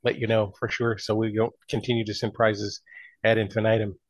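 A man's voice: on the low side, little pitch movement, a little fast, no echo. A man chatting casually in a mid-range voice. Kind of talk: casual chat.

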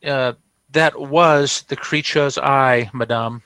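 An actor playing a pompous, flustered dealer in an old radio comedy, speaking in florid, formal phrases. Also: male voice, medium pitch, fairly even intonation, slow, sharp tone, clear, resonant tone, no echo. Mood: proudly defensive. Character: pompous, flustered male trophy dealer defending his goods. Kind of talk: 1940s radio comedy acting.

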